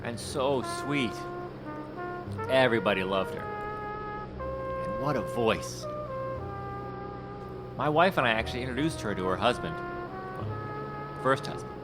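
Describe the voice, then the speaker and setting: An actor playing a middle-aged talent scout in an audio drama, nervous and talkative. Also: male voice, medium pitch, lively intonation, unhurried, pauses often, loud music behind the voice, lightly acted, low-quality recording. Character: tenacious male talent scout, fast-talking and anxious. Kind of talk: radio drama acting.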